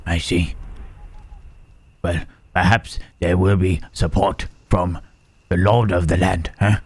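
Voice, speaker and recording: Man acting wary gentle creature, very slow, low-pitched, hushed, halting, old-fashioned wording, intimate ASMR roleplay. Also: fairly even intonation, husky, no room sound, exaggerated voice. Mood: thoughtful, grave. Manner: halting, formal archaic phrasing, questioning "huh".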